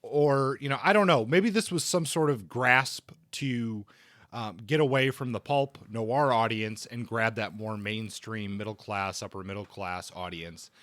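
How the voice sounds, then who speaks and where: A man co-hosting a podcast, casually discussing books in a halting way, often rephrasing as he thinks aloud. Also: fairly low pitch, a bit bright, dry recording, studio quality.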